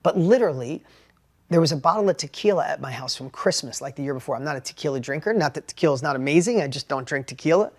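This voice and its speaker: A middle-aged man with a New York accent and a high-pitched voice, giving an animated, plainspoken motivational talk about sales. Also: quick pace. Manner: animated, plainspoken, repeats and restarts words. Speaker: entrepreneur and sales educator. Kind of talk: motivational talk with personal story.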